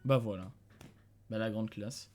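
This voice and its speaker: A man's voice: fairly low pitch, unhurried, music in the background. Young man streamer thinking aloud. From France. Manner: thinking aloud, chuckling, talking to chat. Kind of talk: casual live-stream commentary. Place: dim room at home.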